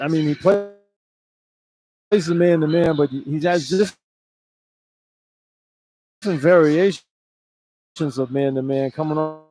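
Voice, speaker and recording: A man's voice: a little high, mellow, poor audio. A man giving informal, opinionated color commentary on a live basketball radio broadcast. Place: indoor college basketball arena.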